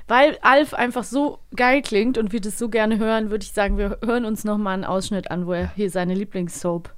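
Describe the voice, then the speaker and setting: A woman's voice: mid-range voice, fairly even intonation, a little fast, clean tone. A woman co-hosting a podcast in an informal, enthusiastic chatting style. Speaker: podcast co-host. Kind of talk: casual chat.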